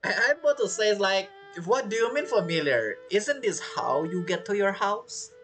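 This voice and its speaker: Animated man doing game commentary. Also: high voice, soft background music. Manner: halting, repeats phrases, reacts to characters. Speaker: game streamer. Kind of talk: casual let's play commentary.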